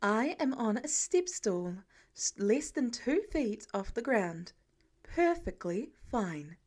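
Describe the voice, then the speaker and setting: A woman reads a wife in a fan-fiction story, her voice a little high. She is wry and firm, teasing and exasperated with her fussing family. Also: big pitch swings, slow pace, darkish tone, full character voice.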